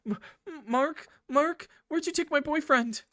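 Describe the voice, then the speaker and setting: A man's voice: extremely high pitch, a little slow, bright, forceful tone, no echo, clean studio sound, pitched above the performer's own voice, heavily acted. A man voicing a frightened young woman from a visual novel, stammering and pleading. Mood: panicked. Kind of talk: visual novel character read aloud.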